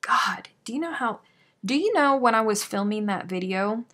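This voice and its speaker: A woman's voice: a little high, lively intonation, moderate pace, a bit bright. A woman hosting a live crochet stream, chatting casually and self-deprecatingly as she reads out viewer comments.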